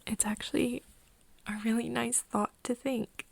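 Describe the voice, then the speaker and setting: A woman playing an earnest young woman confiding in a friend in an ASMR roleplay, speaking softly and haltingly, often in a whisper. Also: a little high, level tone, dry recording. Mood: wistful. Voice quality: soft, breathy, often whispering. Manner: halting, hesitant confiding with pauses. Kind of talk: ASMR roleplay, intimate one-sided conversation.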